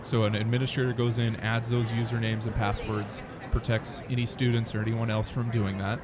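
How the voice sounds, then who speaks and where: An American man gives a conversational interview as a startup founder. His mid-pitched voice moves in a narrow, even range. A busy crowd chatters behind him. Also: a little fast. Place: busy conference venue near an expo hall.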